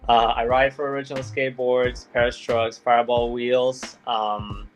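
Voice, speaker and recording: A man's voice: medium pitch, level tone, moderate pace, soft background music, poor audio. A young man from Belgium, a guest skater talking in a loose, laid-back way with lots of fillers. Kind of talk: casual interview chat.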